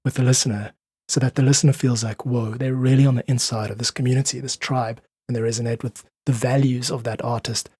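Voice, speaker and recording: A man's voice: medium pitch, steady tone, brisk, darkish tone, slightly rough, dry recording, clean studio sound. A South African man speaking as a musician guest in a conversational interview, emphatic, persuasive and informal as he gives his opinions.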